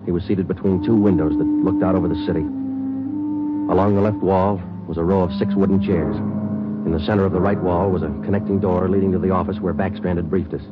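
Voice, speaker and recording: Man acting a clipped, deep-voiced deadpan radio detective. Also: quick pace, natural voice. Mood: deadpan narrating. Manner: clipped, deadpan, matter-of-fact. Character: terse, world-weary male police detective sergeant, narrating his case. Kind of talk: 1940s radio crime drama acting and first-person narration. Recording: muffled old 1940s radio recording, loud music.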